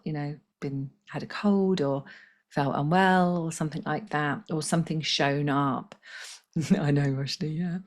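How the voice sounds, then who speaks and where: A woman with a slightly croaky voice, hosting a live spiritual healing session in a gentle, chatty way and reading out viewers' comments. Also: low-pitched. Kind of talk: casual live-stream talk.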